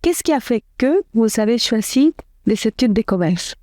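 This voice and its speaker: A woman hosting a podcast interview, asking earnest questions with some filler pauses. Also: fairly high pitch, lively intonation, mellow, no echo, clean studio sound. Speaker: podcast host.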